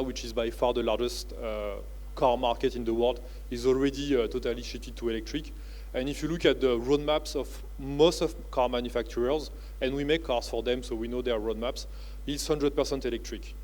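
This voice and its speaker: Halting man with a French accent. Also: mid-range voice, fairly even intonation, darkish tone. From France. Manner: halting, with many "uh" and "you know, like" fillers. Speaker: keynote speaker. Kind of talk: conference keynote talk. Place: convention centre stage.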